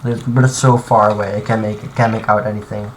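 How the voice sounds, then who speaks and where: A man streaming live from his computer, talking through his modding in a halting, thinking-aloud way full of restarts. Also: lowish voice, little pitch movement. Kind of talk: casual live-stream commentary while modding. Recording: clear background noise and typing.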